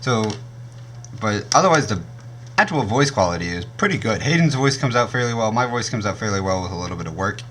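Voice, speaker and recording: A young man with a naturally deep voice, casually reviewing gear on his channel and often stopping to correct himself. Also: lively intonation, fairly quick, fairly bright tone, slight background noise. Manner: rambling, self-correcting, frequent um and uh.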